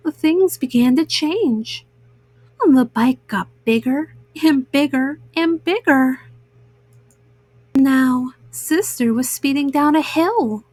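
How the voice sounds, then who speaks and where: A woman reading a children's story aloud in an expressive, measured narration for young listeners. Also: high-pitched, dry recording.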